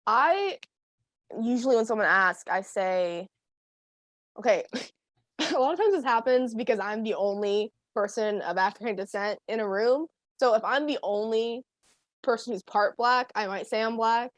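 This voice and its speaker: A woman's voice: a little high, normal speed, dry recording. Chatty teenage girl, dry sound. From United States. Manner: chatty, many fillers and laughs. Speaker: guest. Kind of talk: casual chat.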